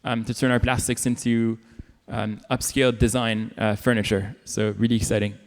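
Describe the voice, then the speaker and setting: A man's voice: medium pitch, little pitch movement, moderate pace. A man from Indonesia speaking earnestly as a panelist, with many "um"s. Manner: earnest, filler-heavy. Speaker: panelist, nonprofit founder. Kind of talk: panel talk. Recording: long hall reverb.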